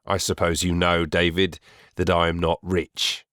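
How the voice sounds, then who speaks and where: A man playing a stern, domineering middle-aged stepfather in a dramatised audiobook, speaking gravely and pompously. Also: deep voice, completely flat delivery, a little slow, a bit bright, no echo, clean studio sound. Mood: stern lecturing.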